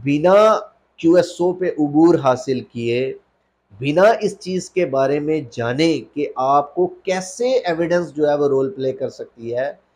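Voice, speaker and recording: A Pakistani man with a slightly high voice speaks as a lawyer teaching law. He explains point by point in a didactic manner, repeating phrases for emphasis. English legal terms are mixed throughout his speech. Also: slow pace, darkish tone. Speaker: lawyer giving a legal lecture.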